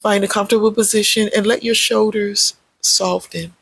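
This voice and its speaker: A meditation guide with a husky, creaky voice, speaking gently in short, measured phrases with pauses between them. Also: medium pitch, steady tone, no echo. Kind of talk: guided meditation, unscripted spoken guidance.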